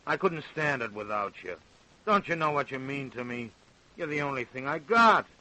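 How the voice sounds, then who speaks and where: A man's voice: mid-range voice, lively intonation, normal speed, sharp tone, low-quality recording. An actor with an American accent playing a possessive, evasive working-class father in an old-time radio crime drama. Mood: desperate, threatening.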